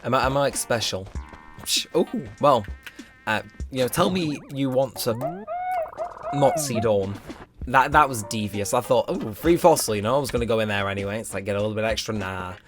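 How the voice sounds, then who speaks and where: A young man with a slightly hoarse, strained throat, rambling casually with lots of fillers while commenting on a game. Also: medium pitch, sing-song, normal speed. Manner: rambling, filler-heavy, self-mocking. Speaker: let's play host. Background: game music.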